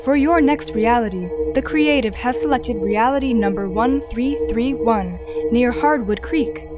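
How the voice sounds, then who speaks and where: A woman playing a calm, formal guide entity, speaking in measured, precise phrases in an audio drama. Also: on the high side, loud music behind the voice, close to an everyday voice. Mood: calm, procedural. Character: calm, formal artificial guide assisting an explorer. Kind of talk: audio drama mission briefing.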